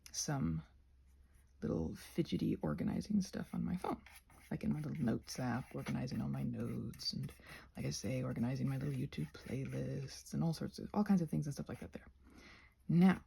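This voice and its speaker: A woman chatting casually and playfully while crafting, often interrupting herself with trailing asides, her voice breathy and often dropping to a near-whisper. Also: fairly low pitch, warm tone. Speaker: craft and ASMR video creator. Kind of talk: casual rambling vlog chat while crafting.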